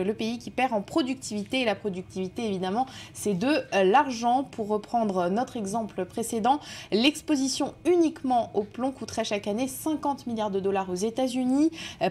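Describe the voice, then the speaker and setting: A woman in her twenties presenting a press review in a TV news studio, explaining clearly with a few hesitations. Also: fairly high pitch, darkish tone. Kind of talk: TV news segment.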